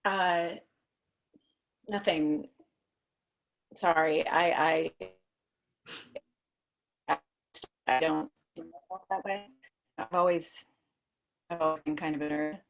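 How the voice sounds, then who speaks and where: An actress playing a self-effacing, shy, nerdy woman with a halting, awkward way of talking in a script read. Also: sits low, steady tone, gravelly, highs cut off, exaggerated voice. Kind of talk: table read of a screenplay, romantic banter.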